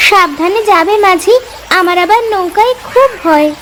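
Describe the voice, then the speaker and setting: Woman playing a very high-pitched village girl. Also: expressive, normal speed, a bit bright, a little hiss or hum. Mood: timid, curious. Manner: girlish, inquisitive. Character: timid, friendly young village girl, curious and sweet. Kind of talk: animated story character acting.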